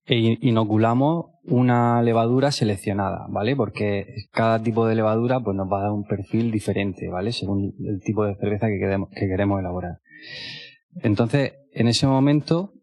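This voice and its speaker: Low-voiced man, halting explainer, dry sound. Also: a little mellow, no echo. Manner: halting, often adds checking tags. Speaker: brewing expert. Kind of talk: unscripted explanation.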